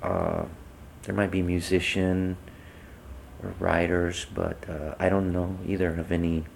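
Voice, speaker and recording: A man's voice: sits low, flat delivery, a little slow, vocal fry, slight background noise. A man conducting an unscripted interview, feeling his way through questions with hesitant, tentative phrasing.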